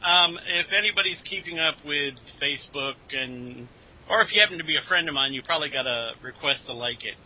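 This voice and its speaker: A man's voice: on the high side, brisk. A man from the United States co-hosting a podcast in casual group chat, with a dry, joking manner. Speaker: podcast co-host. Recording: dull, slightly muffled lo-fi audio.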